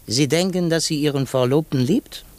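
An actor playing a shrewd, self-assured foreign detective in a theatrical, didactic manner, in 1950s German radio drama style. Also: male voice, a little high, normal speed, a little mellow, lightly acted. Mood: fatherly, emphatic. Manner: theatrical, didactic, sprinkles in French exclamations.

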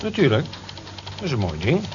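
A man's voice: mid-range voice, huge pitch swings, fairly quick. An actor playing a jovial, wry, teasing male colleague in a radio drama. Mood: approving. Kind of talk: Dutch radio drama acting. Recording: muffled, noisy old radio recording.

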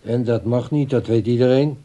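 A man's voice: mid-range voice, normal speed, dark tone, close to an everyday voice. An actor playing a blunt, unsympathetic man of the household in a radio play, matter-of-fact and offhand. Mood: blunt, dismissive.

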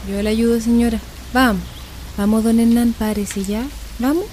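A woman's voice: a little high, fairly even intonation, moderate pace, mellow, a little hiss or hum, close to an everyday voice. A woman playing a polite young stranger. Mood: kind. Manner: polite, helpful. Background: music.

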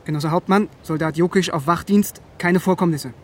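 An actor as a bookish young soldier, lightish voice. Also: male voice, on the high side, moderate pace, a little hiss or hum, natural voice. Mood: nervous, dutiful. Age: young adult. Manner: wry and reflective, nervous before officers. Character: bookish, quietly stubborn young conscript soldier telling his story. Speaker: narrator and lead character. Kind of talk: first-person radio drama narration and dialogue. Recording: music under the voice. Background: music.

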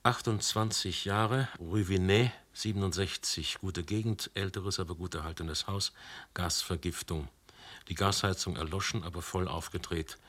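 Brusque, low-voiced police commissioner. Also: male voice, steady tone, fairly bright tone. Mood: dry, weary. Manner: commanding, sardonic, deliberate. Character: brusque, sardonic male police commissioner, middle-aged. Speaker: police commissioner questioning witnesses. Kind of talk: German radio play acting.

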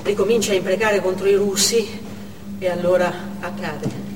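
An actress playing a shaken female hostage, telling her story in a halting dramatic stage monologue. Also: medium pitch, steady tone, noisy background, natural voice. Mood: ominous. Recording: echoey hall, far mic, loud music.

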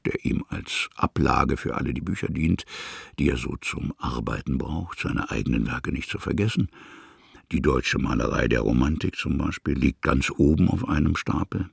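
Male literary narrator, heavy vocal fry, lively intonation, deliberate phrasing, dry studio sound. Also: no echo. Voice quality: very deep, gravelly, husky. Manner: expressive, short deliberate phrases.

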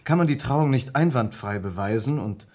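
A man's voice: mid-range voice, expressive, moderate pace, darkish tone, close to an everyday voice. A man playing an earnest, inquisitive lawyer in an old German radio crime play, with a precise, formal delivery. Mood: matter-of-fact. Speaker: narrator and lead character. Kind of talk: German radio crime play acting from the mid-20th century.

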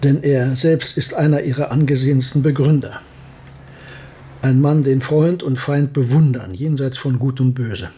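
An actor playing a scholarly professor who talks and narrates in a radio drama with measured phrasing. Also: male voice, medium pitch, mellow, a little hiss or hum, close to an everyday voice. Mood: earnest, persuasive. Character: scholarly male professor advising a military officer, also narrating.

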